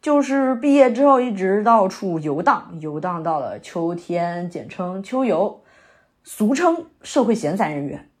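A woman's voice: mid-range voice, very animated, a little slow, a little mellow. A woman acting a young, sentimental, theatre-loving new graduate in a naturalistic audio drama, speaking in a hesitant, conversational way. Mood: easygoing, self-mocking. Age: young adult. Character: young woman fresh out of university, wandering, sentimental theatre lover.

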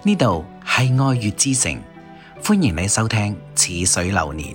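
Deep male announcer. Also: very animated, a little slow. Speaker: programme announcer. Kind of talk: slow scripted station ident. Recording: music playing behind the voice. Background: music.

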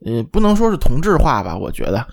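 A man's voice: medium pitch, huge pitch swings, fast talker, creaky. A man co-hosting a podcast, chatting about audio gear in a blunt, colloquial way and often tagging points with checks for agreement. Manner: blunt, colloquial, seeks agreement with tag questions. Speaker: podcast co-host.

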